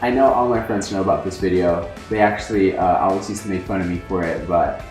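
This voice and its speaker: A man's voice: deep voice, fast, a little mellow. A young man and native Spanish speaker, chatting casually through a Q&A vlog at home with rambling "like" fillers. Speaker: social media influencer. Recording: roomy echo with faint music.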